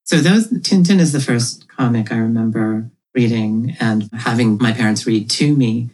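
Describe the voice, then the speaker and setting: A woman's voice: a bass voice, normal speed, a little mellow, breathy edge, rough recording. A woman comic creator, raised in Ethiopia, talking thoughtfully as a podcast guest and laughing often. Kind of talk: casual podcast interview.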